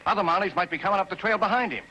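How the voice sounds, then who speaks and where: A man's voice: sits high, very fast, sharp tone. An actor playing a nervous, worried outlaw henchman in a 1950s television western. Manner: worried, agitated. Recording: noisy old 1950s TV soundtrack.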